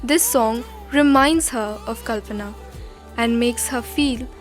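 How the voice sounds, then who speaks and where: A woman narrating a scripted documentary drama in a measured, solemn storytelling manner. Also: a little high, faint music behind.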